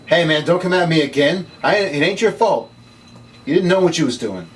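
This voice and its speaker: A man's voice: a little high, expressive, moderate pace, close to an everyday voice. Man playing a sardonic noir investigator, distant mic. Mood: exasperated. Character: sardonic, wisecracking noir occult investigator, world-weary man. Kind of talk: table read of a noir script. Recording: distant mic, noisy room.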